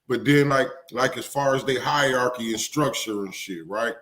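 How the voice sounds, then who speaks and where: A man explains his point in a laid-back, plain-spoken way, swearing casually as he goes. His voice sits in an ordinary middle pitch. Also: moderate pace, a bit bright. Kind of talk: casual chat.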